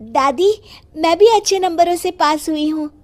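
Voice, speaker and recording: A woman's voice: very high voice, normal speed, pitched above the performer's own voice. A woman voicing a meek young schoolgirl in a family story, earnest and pleading. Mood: hopeful. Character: young schoolgirl granddaughter, meek but eager to study.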